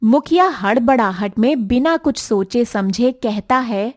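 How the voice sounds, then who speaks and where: Slow, slightly high female story narrator. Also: expressive.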